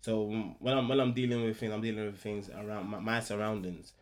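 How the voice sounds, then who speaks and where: A man with a lowish voice who grew up in Ghana talks in a casual group discussion. He tells his stories in a steady, reflective way and thinks back as he speaks. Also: fairly quick.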